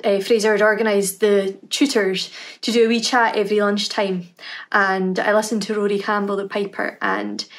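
A woman in her thirties with a Scottish Highland accent, talking casually to camera at home about her music, with frequent ums. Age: 30s. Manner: warm, chatty, with frequent ums and "kind of". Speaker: harpist introducing her piece. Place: home room indoors, dark wooden furniture behind.

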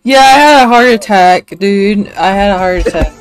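Woman casually streaming, medium-pitched voice. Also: moderate pace, music in the background, low-quality recording. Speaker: game streamer. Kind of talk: casual chat while gaming.

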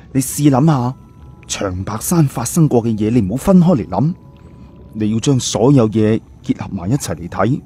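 Man playing an emphatic, mellow-voiced analytical guy. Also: medium pitch, breathy edge. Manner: emphatic, explanatory, colloquial. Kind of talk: audiobook character dialogue.

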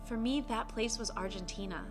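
Reflective American woman narrating her vlog. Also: a little high. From United States (Colorado). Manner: earnest, reflective, measured delivery. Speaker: travel vlogger. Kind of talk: scripted personal narration. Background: soft music bed.

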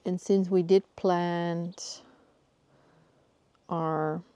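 A Dutch woman filming herself outdoors in her garden with a handheld phone. She talks casually and often pauses to search for plant names. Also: on the low side, flat delivery, mellow, dry recording. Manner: searching for words, thinking aloud. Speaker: gardening vlogger.

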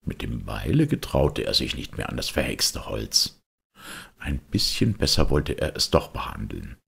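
A man narrating a children's tale as an audiobook in a theatrical, deliberate storyteller's manner. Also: a bass voice, huge pitch swings, gravelly, fairly bright tone, breathy edge.

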